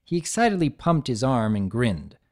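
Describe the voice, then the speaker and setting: A man reading a fan fiction story aloud as narrator in a measured storytelling manner. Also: medium pitch, very animated, darkish tone. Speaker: reader.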